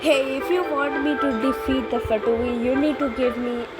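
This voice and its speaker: A woman's voice: high-pitched, steady tone, moderate pace. A woman giving casual gameplay commentary as a streamer, talking in a slangy, informal way. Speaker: game streamer. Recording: loud game music behind. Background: loud game music.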